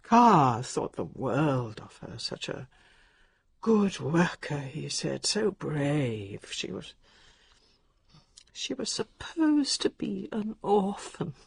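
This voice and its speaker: Old posh english invalid lady, radio play, breathy n raspy, lively swoops, snippy but drifts off. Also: low voice, heavily acted. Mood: querulous, scornful. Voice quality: frail, breathy, husky. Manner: halting, querulous, imperious upper-class speech. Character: ailing bedridden older lady, sharp-tongued and wandering. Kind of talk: radio drama acting.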